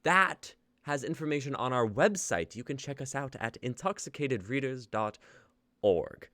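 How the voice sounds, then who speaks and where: A man's voice: mid-range voice, animated, normal speed, fairly bright tone, no room sound. A young man hosting a live reading stream, wrapping up in casual chat, laughing and trailing off with fillers. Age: young adult.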